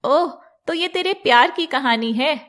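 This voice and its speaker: Woman voicing a high-pitched mocking poison-maiden villainess. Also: unhurried, fairly bright tone.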